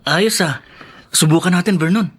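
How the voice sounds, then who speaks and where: A young Filipino student in a radio drama. His voice is fairly high and forceful. He sounds like a provincial dreamer, eager and optimistic. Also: moderate pace, slightly rough. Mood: playful.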